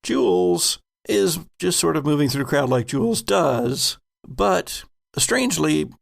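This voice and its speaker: Male game master: heavily gravelly, unhurried, deliberate storyteller. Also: fairly high pitch, lively intonation. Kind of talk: narrating a tabletop game.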